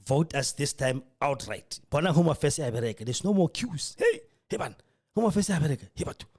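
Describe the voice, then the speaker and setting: A South African man whose first language is Setswana, hosting a radio show in casual, animated commentary that mixes English with Setswana. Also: on the high side, a little fast. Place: radio studio.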